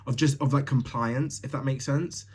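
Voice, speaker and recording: A man's voice: mid-range voice, steady tone, moderate pace, a little mellow, husky. A young man from Tanzania hosting a solo podcast, talking casually and bluntly, laughing often with lots of fillers. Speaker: podcast host.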